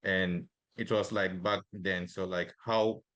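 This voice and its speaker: A man hosting a podcast in casual interview chat, soft-spoken and asking questions between short agreements. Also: low-pitched, fairly even intonation, slow, no echo. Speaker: podcast host.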